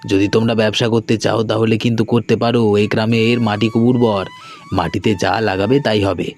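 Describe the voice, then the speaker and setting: A man's voice: fairly low pitch, fairly even intonation, normal speed, natural voice. A man playing a friendly young businessman in a cartoon, talking in a casual, conversational way. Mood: persuasive. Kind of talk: cartoon dialogue acting. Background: background music.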